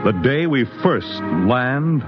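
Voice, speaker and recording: A man's voice: medium pitch, expressive. A male announcer giving a grand, dramatic scripted narration for a 1950s radio show. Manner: slow, dramatic, grand delivery. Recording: dull lo-fi 1950s radio recording with music.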